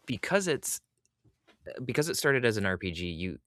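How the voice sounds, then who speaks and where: Low-voiced man interviewing casually. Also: very animated, normal speed, a bit bright. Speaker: podcast interviewer.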